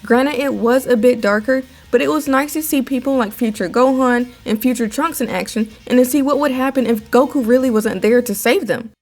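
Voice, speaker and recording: A young woman presents opinion commentary. Her voice sits fairly high and she explains things in a measured, conversational way over faint music. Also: a little mellow. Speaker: anime commentary video host.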